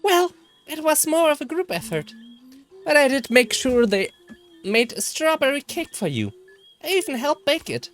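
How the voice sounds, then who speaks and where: A woman voicing an excitable, affectionate young cousin with slangy, teasing chatter in a visual novel. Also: high voice, normal speed, soft background music, pitched above the performer's own voice. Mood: cheerfully teasing. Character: excitable, affectionate cousin, a young bro-talking birthday girl. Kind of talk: acted visual novel dialogue.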